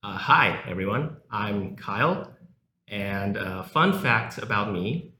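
A man's voice: on the low side, lively intonation, slow pace, bright, forceful tone. A young man who grew up in South Africa, a lecturer talking casually as an interview guest, with frequent filler words. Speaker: guest, university English lecturer. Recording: echoey room, faint background noise.

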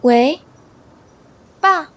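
A woman's voice: high-pitched, lively intonation, extremely slow, a bit bright, heavily acted. A woman playing a guarded, scheming teenage schoolgirl with a bitter edge in a radio drama. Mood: wary. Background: faint music.

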